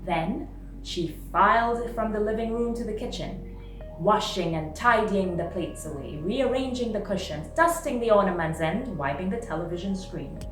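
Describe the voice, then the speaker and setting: A woman narrates a short story with expressive, dramatic delivery. Her voice sits at an average pitch and sounds roomy and a little away from the microphone. Music plays clearly behind her. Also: moderate pace, distant mic. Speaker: storyteller reading short stories aloud.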